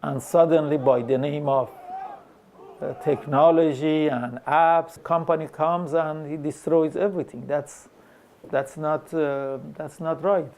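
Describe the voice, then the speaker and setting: A middle-aged man from Iran, a taxi driver, talking haltingly with pauses and fillers in a documentary interview. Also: a little high, fairly even intonation, a little mellow, slight background noise.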